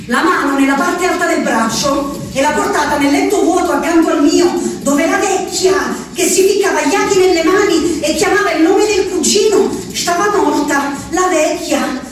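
Brief: an asylum inmate with an Abruzzo accent, very high voice, miked from far across an echoing stage with noise. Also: female voice, a little slow. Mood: bitter. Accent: Abruzzo Italian. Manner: fervent, rambling, repetitive. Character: woman shut in an asylum, obsessively counting. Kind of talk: stage monologue. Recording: echoey stage from far off, noisy.

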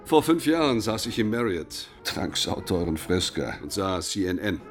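An actor playing an older, sober retired inspector general in a radio drama, speaking factually and deliberately. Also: male voice, sits low, sing-song. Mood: grave, determined. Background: music.

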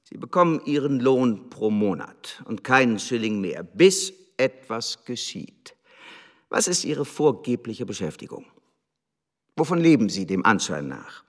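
An actor playing a cynical, smug, imperious embassy secretary in a radio drama, with a theatrical, condescending delivery that draws out key words. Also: male voice, mid-range voice, big pitch swings. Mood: contemptuous anger. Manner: theatrical, condescending, drawn-out emphasis.

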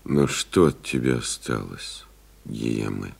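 An actor playing a pilot's devoted friend, solemnly retelling the ordeal in measured, rhetorical literary radio play narration. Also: male voice, very deep voice, gravelly, a little mellow, breathy edge, heavily acted. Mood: tender, grave. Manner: grave, measured, rhetorical, building in intensity.

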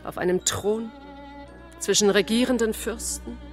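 A woman narrating a literary radio play in a measured, solemn manner with pauses. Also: mid-range voice, fairly even intonation. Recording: voice over loud brass music. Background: loud trombone and brass music.

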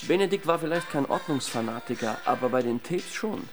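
An actor playing a wisecracking, dryly pedantic police detective sidekick in a German radio crime drama. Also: male voice, medium pitch, lively intonation, brisk, over music, natural voice. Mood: smug, explaining. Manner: dry, wisecracking.

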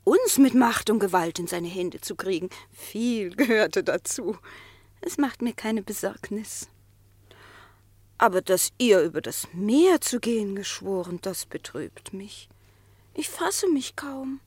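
A woman's voice: mid-range voice, lively intonation, slow pace, no room sound. An actress voicing an anxious vixen wife who pleads with her husband, in a classic verse radio play. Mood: worried. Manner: pleading, fretful, swings between loud and whispered.

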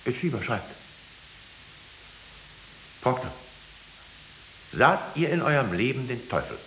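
An actor playing a stern, solemn judge who presses insistently for a confession, in 1950s German radio drama style. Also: male voice, medium pitch, sing-song, slow pace, fairly bright tone. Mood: commanding. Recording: hissy, echoey 1950s radio recording.

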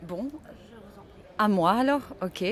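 A woman from North Macedonia, answering interview questions earnestly and haltingly, with many fillers. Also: mid-range voice, animated. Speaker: activist guest.

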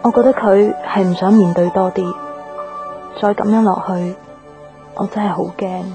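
A woman's voice: mid-range voice, fairly even intonation, normal speed, lightly acted. An actress playing a caring but frustrated young girlfriend in a Cantonese radio drama, with a Hong Kong accent and an earnest, plaintive manner. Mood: anxious, sad. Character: caring, frustrated young girlfriend, anxious about her relationship. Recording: background music under the dialogue.

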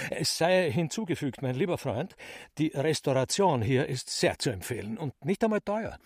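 An actor playing a roguish, flowery trickster in a radio drama, mock-polite and theatrical, with drawn-out hesitations. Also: male voice, fairly high pitch, animated. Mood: mock-courteous.

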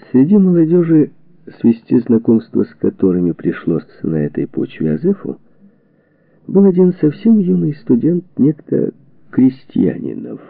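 A lowish-voiced man narrates a history audiobook in a thin, phone-like recording. His delivery is deliberate and measured, yet his pitch moves in lively, expressive swings. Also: gravelly, no room sound. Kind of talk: scripted narration of a historical book. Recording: dull phone-like narrow-band sound, dry.

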